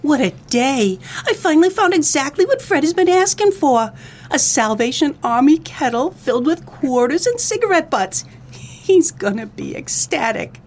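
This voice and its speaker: Woman playing high, perky, brassy sidekick. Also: big pitch swings, normal speed, darkish tone. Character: brassy, chirpy female sidekick of a detective. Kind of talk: comedic noir radio play.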